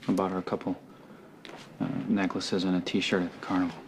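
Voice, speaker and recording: An actor playing a reserved, awkward young husband in a TV soap, speaking in a halting, subdued way. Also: male voice, low voice, level tone. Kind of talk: television soap opera acting. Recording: clearly noisy TV soundtrack.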